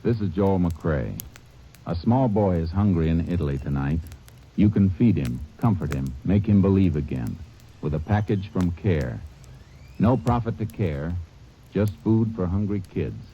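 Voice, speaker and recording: Man, deep calm earnest appeal, vintage radio sound. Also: measured pace, slight background noise. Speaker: film star giving a radio message. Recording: dull old radio transcription.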